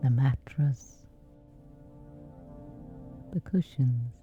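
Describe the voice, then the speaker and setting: A woman with a whispery voice, slowly and gently guiding a sleep meditation. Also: extremely low pitch, highs cut off, soft background music. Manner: slow, soothing, unhurried. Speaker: meditation guide.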